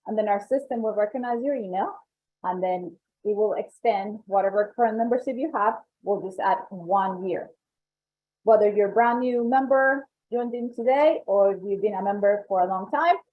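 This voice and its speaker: A woman with a Colombian accent presenting member benefits on a webinar, upbeat and salesy, repeating points for emphasis. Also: fairly high pitch. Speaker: membership coordinator. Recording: tinny phone-like call audio.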